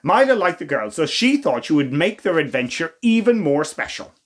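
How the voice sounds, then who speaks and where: A man reading a children's story as a storytime host, with expressive, theatrical emphasis and asides to the listeners. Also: fairly high pitch, normal speed. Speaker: storytime reader.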